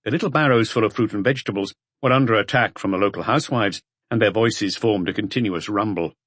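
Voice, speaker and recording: A man's voice: lowish voice, fast talker, fairly bright tone, no echo. A man narrating a mystery audiobook from a script.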